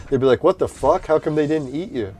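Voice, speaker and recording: A man's voice: a little high, normal speed, warm tone. A man hosting a live stream, chatting casually with viewers in a rambling, laid-back way, laughing often and losing his voice. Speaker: livestream host.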